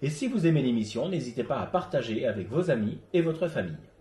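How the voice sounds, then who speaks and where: Man presenting in an echoey room, animated pitch. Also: fast talker. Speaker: host of an amateur radio web show. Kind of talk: scripted presentation, read narration.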